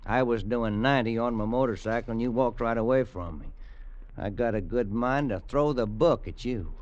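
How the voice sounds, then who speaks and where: An actor playing a stern, scolding motorcycle cop in a 1950s radio drama. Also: male voice, mid-range voice, normal speed, darkish tone, natural voice. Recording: dull old radio recording.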